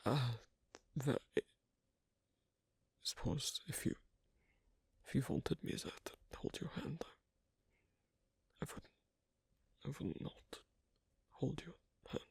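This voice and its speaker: A performer acting as an asocial werewolf murmurs in a very breathy, husky half-whisper with a gravelly creak. The delivery is slow, halting and guarded, yet shyly affectionate, as in an intimate ASMR roleplay. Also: mid-range voice, sing-song, no echo, exaggerated voice. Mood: shy, hesitant. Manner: halting, soft-spoken, hesitant. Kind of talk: ASMR roleplay, one-sided intimate conversation.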